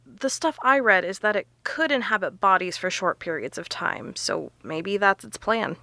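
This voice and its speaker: Bright, emphatic woman investigator. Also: mid-range voice, lively intonation, moderate pace, no echo. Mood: explaining, intent. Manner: emphatic, explanatory. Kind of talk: audio drama dialogue.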